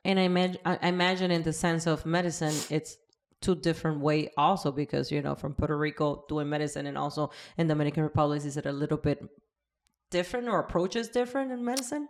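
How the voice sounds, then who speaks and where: A woman's voice: sits low, fast. A middle-aged woman from Cuba, hosting an interview in a chatty way, often restarting sentences. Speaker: podcast host. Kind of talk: casual interview chat.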